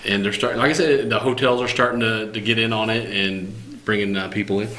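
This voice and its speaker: A man co-hosting a casual chat, chatty and quick to chime in. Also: on the low side, fairly even intonation, sharp tone, a little hiss or hum. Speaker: podcast co-host.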